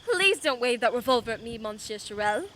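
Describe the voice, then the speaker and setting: Woman doing cool headed lady detective for a radio play, high bright voice, bit of background noise. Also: lively intonation, fairly quick. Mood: calm. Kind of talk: radio play acting and narration.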